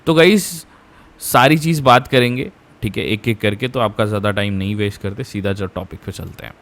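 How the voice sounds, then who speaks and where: A man's voice: fairly low pitch, moderate pace, a bit bright. An Indian man hosting an informal explainer for students, conversational, mixing Hindi with English and repeating phrases for emphasis. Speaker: education YouTuber, host.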